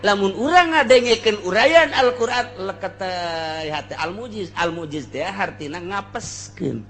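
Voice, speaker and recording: A man's voice: high-pitched, expressive, measured pace, pushed and bright, slight background noise. A man with a Sundanese accent giving an informal Islamic sermon, speaking in a colloquial, earthy way and repeating phrases for emphasis. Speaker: Islamic preacher and teacher. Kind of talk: informal sermon with Arabic recitation.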